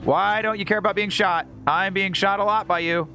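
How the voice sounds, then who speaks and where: A man's voice: sits high, fairly even intonation, a little fast, sharp tone. A man live streaming a video game, chatting casually with viewers in a rambling, jokey way and reacting aloud as he plays. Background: video game music.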